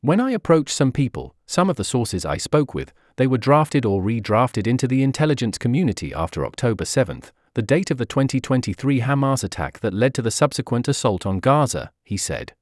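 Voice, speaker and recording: A man reading a news article aloud in an even, measured way. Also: medium pitch, no echo, clean studio sound. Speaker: article narrator.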